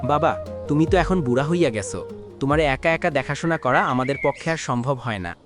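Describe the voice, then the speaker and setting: An actor playing a grown son in a cartoon, persuasive and self-justifying, with a Bangladeshi Bengali accent. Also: male voice, on the high side, animated, fairly quick, music in the background, natural voice. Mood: coaxing. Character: grown son coaxing his father to leave home. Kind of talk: cartoon voice acting.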